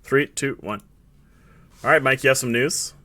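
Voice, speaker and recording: Game master, male, cheerful profane banter, bright tone. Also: mid-range voice, normal speed. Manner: joking, profane, runs the session. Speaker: dungeon master. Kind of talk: casual chat before a tabletop game.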